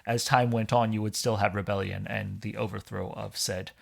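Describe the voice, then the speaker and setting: A man from Hong Kong, a podcast guest in casual conversation, explaining things in a measured way. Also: on the low side, little pitch movement, creaky.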